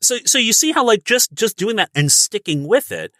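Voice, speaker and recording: A man coaches in casual, encouraging podcast talk. His voice is bright and animated, recorded very dry. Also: sits high, moderate pace, no echo. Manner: encouraging, often checking with "right?". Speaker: voice acting coach.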